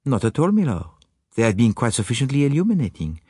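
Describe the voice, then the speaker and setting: A man's voice: medium pitch, very animated, fast talker, darkish tone, slightly rough, no echo, clean studio sound, pitched above the performer's own voice. A man voicing a courtly, confident Belgian detective with a French-speaking accent and formal, precise phrasing, in an audiobook story. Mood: confident, persuasive. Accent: French-speaking Belgian, put on.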